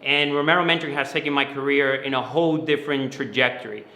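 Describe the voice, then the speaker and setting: Bright, forceful man in an echoey room. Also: medium pitch, fairly even intonation, quick pace. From Dominican Republic, moved to New York City at eleven. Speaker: guest, finance mentoring company founder. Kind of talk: conversational interview answers. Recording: roomy, echoey sound.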